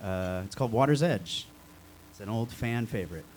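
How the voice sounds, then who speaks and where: A man's voice: lowish voice, expressive, normal speed, a little mellow, rough recording. A man speaking casually as a band musician, chatting and introducing material to viewers. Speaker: band musician being interviewed. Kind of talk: casual chat and song introduction.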